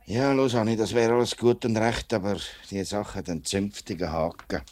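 An actor playing an upright working-class family man in a 1950s radio play, speaking with a Swiss German accent in a hesitant, deliberate way. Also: fairly low pitch, steady tone, a little mellow, close to an everyday voice. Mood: reluctant, serious. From Switzerland. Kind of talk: mid-century radio play acting. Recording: vintage 1950s radio drama recording.

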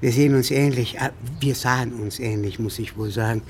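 An actor playing a composed, courteous stranger in a radio play, speaking with polite, measured formality. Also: male voice, mid-range voice, steady tone, a little hiss or hum, close to an everyday voice.

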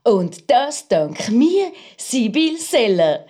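Smug, conceited Swiss radio reporter. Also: female voice, a little high, sing-song, drawn-out words, dark tone, exaggerated voice. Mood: self-important. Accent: Swiss German. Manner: smug, self-important. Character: conceited, taunting female radio crime reporter. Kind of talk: German audio drama, radio reporting.

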